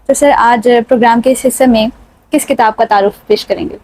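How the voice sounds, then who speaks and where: A woman's voice: on the high side, darkish tone, low-quality recording. A woman hosting a literary programme in a formal, courteous and appreciative manner. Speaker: show host.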